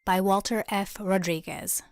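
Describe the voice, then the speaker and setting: A woman's voice: mid-range voice, fairly even intonation, a little slow. A woman announcer reading a scripted introduction to a show. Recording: loud music under the voice. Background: loud music.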